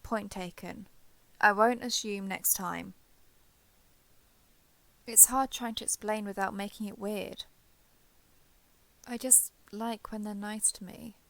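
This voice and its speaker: A woman performs a reformed villainess in a romance audio roleplay, shy beneath a defensive front. Her mid-pitched voice has a gravelly fry. She speaks haltingly, with awkward sincerity, in a very dry, intimate recording. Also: a bit bright, no echo. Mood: awkward, flustered. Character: shy, guarded former villain woman turned ally, awkward and flustered.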